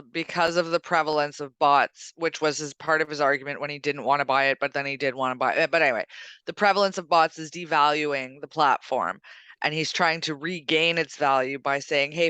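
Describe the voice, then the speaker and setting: A woman with a bright, crisp voice speaks as a podcast co-host in a casual, opinionated discussion. She is assertive and argumentative, and the sound is close and dry. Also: low voice, no room sound.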